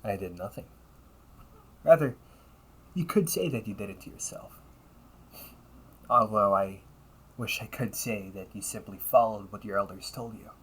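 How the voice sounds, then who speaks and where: A man voicing a cunning, condescending villain and older brother in an audio roleplay, taunting and theatrical, often dropping toward breathy near-whispers. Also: fairly low pitch, normal speed, long pauses, poor audio. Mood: amused, condescending. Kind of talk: audio roleplay monologue addressed to the listener.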